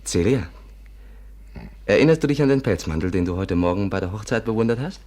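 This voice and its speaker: Persuasive schemer for 1950s radio drama, male actor: lowish, animated, confident, always coaxing. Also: a little fast, natural voice. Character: smooth-talking, scheming, persuasive male companion of the heroine. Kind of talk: 1950s German radio play acting.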